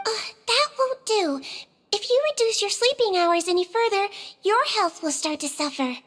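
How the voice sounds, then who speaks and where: A woman voicing a small, kindly girlish head nurse in a video game, gentle, reassuring and instructive. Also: very high voice, animated, normal speed. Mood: caring, reassuring. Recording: music under the voice.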